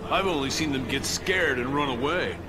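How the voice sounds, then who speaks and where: A man's voice: mid-range voice, normal speed, lightly acted. A man voicing a confident, casual young male hedgehog hero in a video game cutscene. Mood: skeptical. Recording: loud music behind the voice.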